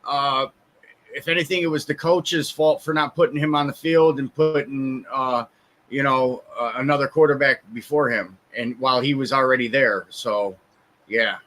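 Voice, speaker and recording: A man guesting on a casual podcast, speaking hesitantly with many pauses and fillers. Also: mid-range voice, fairly even intonation, fairly bright tone. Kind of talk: casual chat.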